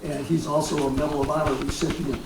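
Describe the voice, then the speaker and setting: An American man in his seventies, a war veteran reminiscing informally in a halting, rambling way with frequent pauses. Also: a little high, level tone. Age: 70s. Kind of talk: informal reminiscence at a public talk. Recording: echoey, distant mic, clear background noise.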